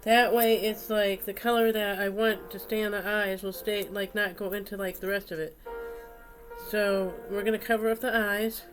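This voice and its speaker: A woman's voice: medium pitch, flat delivery, normal speed, faint music behind, low-quality recording. A woman with a stuffy, congested voice, hosting a casual craft video with rambling, unscripted narration as she works.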